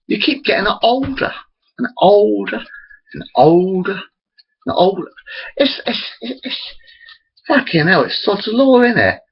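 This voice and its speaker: Guy in his 40s rambling at his laptop cam, pitch all over the place, kinda raspy. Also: animated, unhurried, long pauses, muffled recording. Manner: rambling, self-interrupting, chatty. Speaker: vlogger. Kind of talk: rambling webcam monologue. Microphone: built-in computer camera mic.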